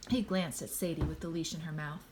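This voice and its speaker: A woman reading a children's storybook aloud expressively, pausing to speak to a young listener. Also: deep voice, fairly even intonation, background noise. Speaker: storybook reader.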